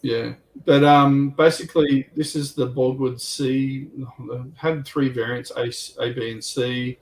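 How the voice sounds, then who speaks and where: A man's voice: mid-range voice, fairly even intonation, a little slow, distant mic, poor audio. A man on a hobby livestream panel, commenting casually on a tabletop game and thinking aloud in a hesitant, rambling way.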